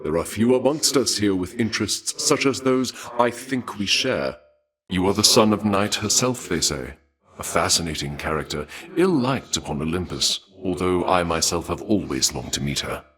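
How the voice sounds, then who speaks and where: Video game war god: low-voiced, suave and bloodthirsty, formal archaic delivery, deliberate and courteously menacing. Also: male voice, expressive, fairly bright tone. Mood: approving, refined. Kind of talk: theatrical video game character dialogue.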